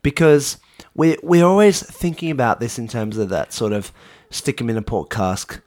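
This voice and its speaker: A man's voice: mid-range voice, animated, moderate pace, darkish tone, no room sound. An Australian man co-hosting a podcast in casual chat, with a wry, jokey and opinionated manner. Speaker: podcast co-host.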